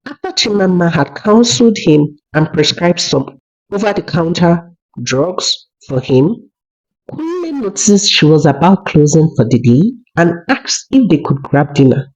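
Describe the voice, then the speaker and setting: Storyteller reading a folktale, takes it slow, pitch moves around a lot, kinda muffled recording. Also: very animated, darkish tone. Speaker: storyteller, narrator. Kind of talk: scripted folktale narration. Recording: dull lo-fi recording.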